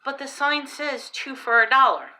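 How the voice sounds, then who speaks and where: A woman's voice: sits high, animated, normal speed, pushed and bright, voice pushed up, full character voice. A woman voicing a confused female shopper who keeps insisting on the price. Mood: insistent. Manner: puzzled, insistent.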